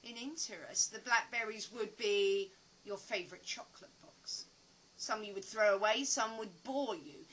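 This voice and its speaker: A woman's voice: medium pitch, normal speed, fairly bright tone, close to an everyday voice, rough recording. An actress playing an earnest, philosophical woman survivor in an audio play, with reflective, dramatic phrasing. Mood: earnest, intense.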